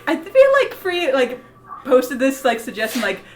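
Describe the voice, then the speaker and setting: A woman's voice: sits high, very animated, normal speed, very noisy. A woman playing a tabletop game, chatting casually and saying "like" a lot. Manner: chatty, peppered with "like". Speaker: player. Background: music.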